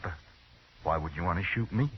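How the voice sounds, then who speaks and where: Shifty western outlaw on muffled radio. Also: male voice, low voice, animated, a little fast. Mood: wary, uneasy. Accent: American. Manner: folksy, evasive, faltering. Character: shifty, cowardly hired gunman, sullen outlaw prisoner. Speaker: radio drama actor. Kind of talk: 1950s radio western acting. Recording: muffled, hissy old radio recording.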